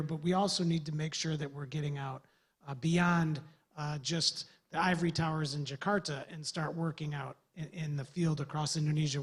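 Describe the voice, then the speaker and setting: A man's voice: on the high side, breathy edge. A man from the USA, a government development official, giving earnest, loosely structured remarks on a panel. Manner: earnest, rambling with restarts.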